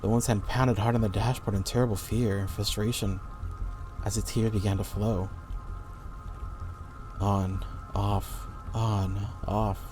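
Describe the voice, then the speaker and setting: A man's voice: fairly low pitch, flat delivery, a little slow, pauses often. A man reading a scripted horror story as the narrator of an audio play. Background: background music.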